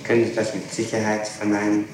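A man with a fairly low, flat voice puts short, insistent questions in a formal, matter-of-fact interrogation. The old tape is noisy and heavy with room echo. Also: fast. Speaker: police interrogator.

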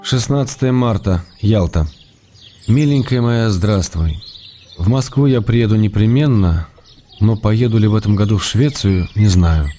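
Low, mellow, wry lovesick man played by an actor. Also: a little slow, soft background music, natural voice. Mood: fond, resigned. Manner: intimate, ironic, understated. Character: wry, tender, lovesick writer, weary before his time. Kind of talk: radio drama reading of personal letters.

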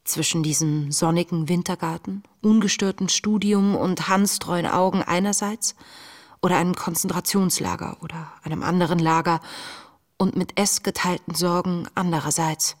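An actress playing an earnest, searching woman in her late twenties, reading her wartime diary in a breathy, introspective, measured way for a radio play. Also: lowish voice, fairly even intonation, lightly acted. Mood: reflective. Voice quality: breathy, often dropping toward a whisper. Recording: music under the voice.

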